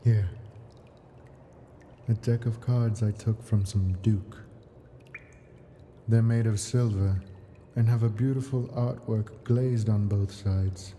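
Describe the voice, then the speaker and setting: Man voicing a dark-voiced, possessive ancient dragon. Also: fairly low pitch, steady tone, slow, pauses often, slight background noise. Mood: smug, doting. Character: ancient male dragon, possessive, doting, wounded hoarder of treasures. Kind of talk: slow intimate ASMR audio roleplay.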